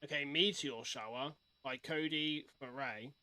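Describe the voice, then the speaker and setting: A man's voice: medium pitch, a little slow, bright, forceful tone, slight background noise. A man giving a casual live-stream reaction, reading things aloud and commenting as he goes. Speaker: streamer reacting to horror videos.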